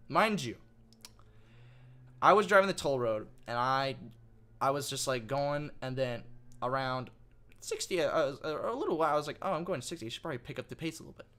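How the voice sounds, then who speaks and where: A young man co-hosting a podcast, telling a story in casual, animated chat with swearing and restarts. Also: a little high, brisk. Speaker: podcast co-host.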